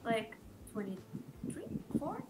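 A teenage woman with a Brazilian Portuguese accent chats on a video call, giggly and hesitant, with lots of fillers. Her voice sits fairly high and swings widely in pitch, and a clear layer of background noise comes through the call. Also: big pitch swings, takes it slowly. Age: teens. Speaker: guest. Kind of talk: casual video-call chat.

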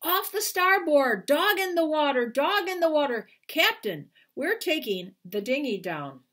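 A woman voicing eager storybook sailors, pitched up high. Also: sing-song, unhurried, fairly bright tone, full character voice. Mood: urgent. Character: earnest, eager male ship crewmen of the storybook.

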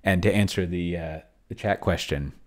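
Guy, really deep voice, middle aged, rambling casual commentary, thinks out loud, close desk mic. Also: moderate pace. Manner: thinks aloud, trailing off. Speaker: solo role-player and streamer demonstrating AI game mastering. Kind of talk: casual live commentary while typing and reading aloud. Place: small indoor room. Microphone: close desk microphone. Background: computer keyboard typing.

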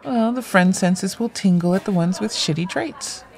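A woman's voice: medium pitch, normal speed, a faint crowd, lightly acted. An actress playing a blunt, playful woman near forty in audio drama dialogue, speaking directly. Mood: amused. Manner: direct, animated delivery.

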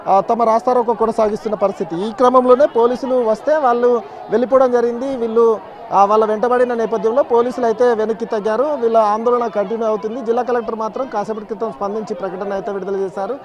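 Man, field reporter, high voice, outdoors on a road, handheld mic, faint crowd behind. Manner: steady reporting, many hesitation sounds. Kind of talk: live news report from a protest.

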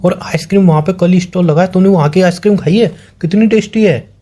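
Man acting a mellow, suspicious young boyfriend. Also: high-pitched, quick pace. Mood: feigned enthusiasm. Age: young adult. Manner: probing, sarcastic, repeats questions. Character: suspicious, sarcastic young boyfriend interrogating his girlfriend. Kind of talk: acted phone-call argument between a couple.

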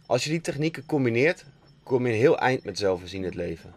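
A young Dutch man, a sailing skipper, reading a calm, matter-of-fact scripted voice-over. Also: medium pitch, a little fast. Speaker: skipper narrating a sailing documentary. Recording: faint music under the voice.

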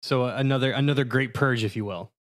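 Male podcast host, mid-pitched, casual and mock-serious, steering the panel with probing questions. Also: quick pace.

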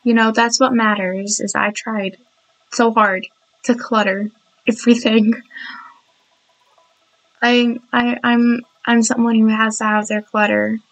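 Young woman narrating her build, a bit hoarse, chatty and giggly, higher voice. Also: flat delivery, unhurried, pauses often, rough recording. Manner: chatty, giggly, apologetic, many restarts. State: sore throat, voice cracking. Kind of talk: rambling game voiceover.

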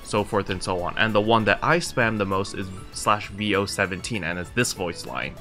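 A man's voice: on the low side, moderate pace, bright, forceful tone. Young man giving a casual game tutorial, faint music. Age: young adult. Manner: explanatory, step by step, conversational. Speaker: video game tutorial host. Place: small room at home, indoors.

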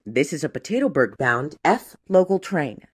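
Female transit announcer, low register, formal segmented script read, animated intonation. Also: normal speed, slightly rough. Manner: formal, segmented phrasing pieced from clips. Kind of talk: scripted automated train station announcement.

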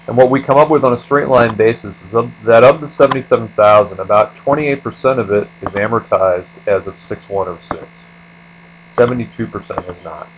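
A man's voice: medium pitch, slight background noise, low-quality recording. A man giving a step-by-step screencast lecture as an instructor, explaining things methodically. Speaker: accounting instructor.

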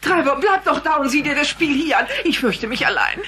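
An actress playing an agitated, easily frightened middle-aged neighbour wife in an audio drama. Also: female voice, high-pitched, lively intonation, a little fast, bright, forceful tone, slight background noise. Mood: bewildered. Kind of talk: German audio drama acting. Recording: background music on cassette drama.

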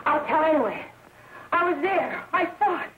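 A woman's voice: high voice, animated, moderate pace. An actress playing a distraught, guilt-ridden young woman confessing in a quavering, tearful voice, in 1930s melodramatic film style. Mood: urgent, distraught. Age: young adult. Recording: scratchy, tinny, noisy 1930s film soundtrack.

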